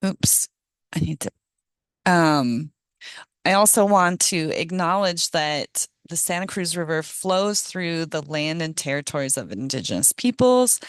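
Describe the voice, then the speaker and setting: A middle-aged woman, hoarse from a cold, giving a casual presentation on a video call with many ums and small laughs. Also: low voice, no room sound, clean studio sound. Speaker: guest speaker, ecologist. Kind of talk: online meeting presentation.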